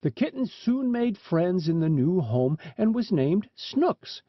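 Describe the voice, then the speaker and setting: A man with a notably high voice narrates a storybook from a script. His pitch moves in lively swings from phrase to phrase. The recording is dry and somewhat dull, with little top end. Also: slow, dry recording. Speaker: audiobook narrator.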